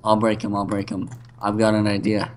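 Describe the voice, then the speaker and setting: A man's voice: on the low side, completely flat delivery, a little fast, a little hiss or hum. A young man chatting casually while playing a game, joking and laughing often. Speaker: co-host gamer. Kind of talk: casual gaming chat.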